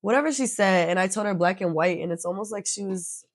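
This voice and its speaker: A young woman chatting casually as a podcast guest, self-mocking and quick to laugh. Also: medium pitch, no echo, studio quality. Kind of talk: casual chat.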